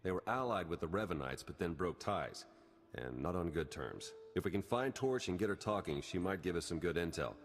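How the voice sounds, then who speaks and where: Earnest male agent; low, husky, calm; some hall echo. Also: a little fast. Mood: briefing. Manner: calm, focused briefing.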